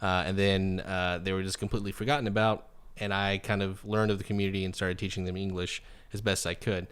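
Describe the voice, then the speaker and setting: Podcast host, man in his thirties, low and smooth voice, rambling easygoing questions. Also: flat delivery, fast, a bit bright. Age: 30s. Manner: chatty, loose, many fillers and restarts. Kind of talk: casual interview.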